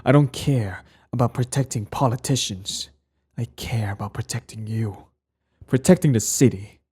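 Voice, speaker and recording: Man acting a husky, dark-voiced sardonic villain. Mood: intense, protective. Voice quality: low, husky, breathy. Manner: slow deliberate delivery, sardonic, condescendingly affectionate. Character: cold, mocking male villain, protective ex-hero, secretly tender. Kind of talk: intimate ASMR audio roleplay monologue.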